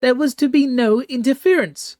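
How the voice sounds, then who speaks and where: A man's voice: lively intonation, normal speed, no room sound, clean studio sound. A man voicing an imperious, tentacled female sea empress who speaks of herself as "we" and screeches her commands. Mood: screeching. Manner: regal royal "we", shrill and commanding.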